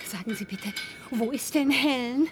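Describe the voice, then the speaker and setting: A woman's voice: sits high, moderate pace, slight background noise, close to an everyday voice. An actress playing a frightened, fretful middle-aged mother in a radio drama, anxious and exclamatory. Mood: worried. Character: frightened, fretful mother stranded with her husband.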